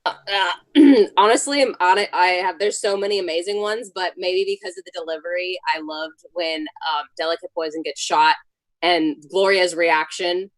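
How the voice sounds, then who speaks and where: A woman's voice: lowish voice, normal speed, no room sound, poor audio. A woman answering casually and earnestly in an interview, with some hesitation. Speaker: cast member.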